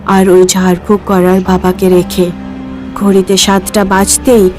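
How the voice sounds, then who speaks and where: A woman's voice: medium pitch, monotone, a little slow. A woman giving scripted narration for a cartoon ghost story. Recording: music behind the voice.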